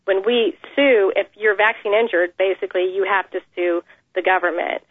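A woman's voice: medium pitch, fairly even intonation, no echo. Earnest woman on a tinny phone line. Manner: earnest, measured, many "um" pauses. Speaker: interview guest, mother. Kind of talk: phone interview, personal account.

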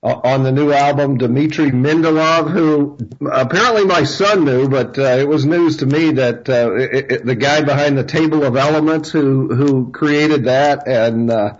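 Halting male radio host, lo-fi. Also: mid-range voice. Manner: halting, many fillers and repeated words. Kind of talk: radio interview. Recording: dull lo-fi radio sound.

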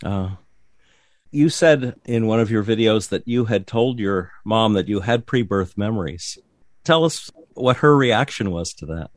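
A man's voice: lowish voice, lively intonation, a little slow, no room sound. A man hosting a radio interview show, speaking in a friendly, plain manner.

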